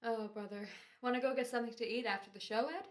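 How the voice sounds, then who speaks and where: A woman's voice: fairly high pitch, fast talker, close to an everyday voice. A woman playing a worn-out, dryly wisecracking performer in an old-time American radio comedy. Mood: weary. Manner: dry, sarcastic, casual.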